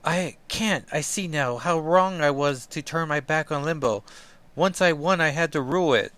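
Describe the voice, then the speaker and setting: A man voicing a teenage girl sorceress, resigned and solemn about her dark destiny. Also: a little high, moderate pace, voice pushed up, lightly acted. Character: sorrowful teenage sorceress queen.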